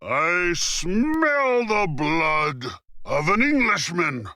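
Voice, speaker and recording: A man's voice: sing-song, sharp tone, no echo, full character voice. Man putting on a roaring, fierce storybook giant. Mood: menacing, roaring. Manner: roaring, drawn-out booming chant. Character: huge, fierce, hungry male giant, roaring commands. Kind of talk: children's fairy-tale storybook.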